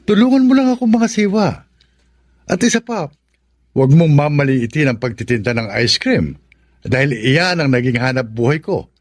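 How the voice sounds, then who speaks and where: A man's voice: mid-range voice, lively intonation, normal speed, no room sound, studio quality, close to an everyday voice. An actor playing a proud, devoted middle-aged father, an ice cream vendor, in an earnest Tagalog radio drama style. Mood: coaxing, firm.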